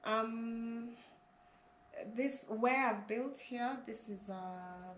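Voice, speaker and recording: Hoarse woman in her forties from Kenya, earnest and halting, in an echoey room. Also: fairly high pitch, slight background noise. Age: 40s. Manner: hesitant, restarts, earnest. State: hoarse from a flu. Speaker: channel host, interviewee. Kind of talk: casual interview. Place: her family home in a village.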